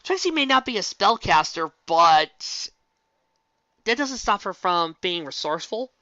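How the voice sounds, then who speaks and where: A woman casually commenting on a fan story she reads aloud. She rambles, restarts and corrects herself, and jumps up in pitch for emphasis. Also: unhurried, dry recording, muffled recording. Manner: animated, jumping up in pitch for emphasis. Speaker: fan fiction reader and commentator.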